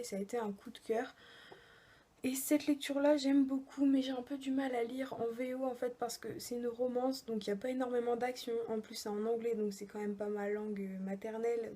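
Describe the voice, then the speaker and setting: A young woman chatting in a hushed, often half-whispered voice, hesitant and full of fillers, in a casual book vlog. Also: on the high side, reverberant. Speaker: book vlogger. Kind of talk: casual reading-challenge vlog diary. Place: at home at night.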